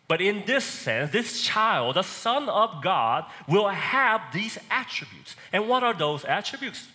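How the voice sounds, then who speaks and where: A man from Korea with a Korean accent, preaching a sermon and swinging between loud and soft emphasis. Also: fairly high pitch, big-room sound.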